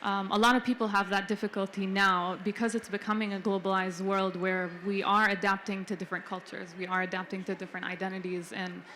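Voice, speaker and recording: A young adult woman, born in the Philippines and raised in several countries, answering thoughtfully on a panel with many "um" pauses. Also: mid-range voice, flat delivery, pushed and bright, a little hiss or hum. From Philippines-born, raised in Jordan, Kenya, India. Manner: reflective, frequent um fillers. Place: book fair panel stage.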